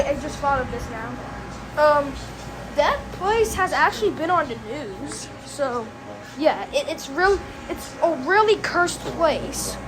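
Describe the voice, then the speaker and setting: A woman's voice: high-pitched, low-quality recording. A woman giving a casual, rambling recap as host of a reaction video, in informal, slangy language.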